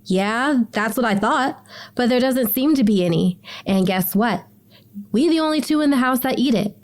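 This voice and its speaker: A woman playing a bookish, sarcastic teenage schoolgirl in an audio drama, with slangy, expressive delivery. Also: on the high side, moderate pace, natural voice. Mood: annoyed.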